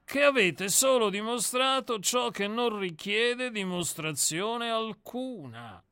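Brief: man voicing a scornful, self-assured murderer-novelist for a radio play; slow, bright, smooth delivery. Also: sits high, animated, dry recording, studio quality, heavily acted. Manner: lecturing, sardonic, self-assured. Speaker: character in a radio play reading. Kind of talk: radio drama reading.

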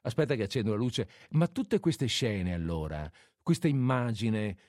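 A man talks as a radio host. The sound is dry and close. He thinks aloud in a casual, halting manner, often circling back on his phrases. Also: fairly low pitch, expressive, darkish tone, no room sound. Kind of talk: casual call-in radio talk.

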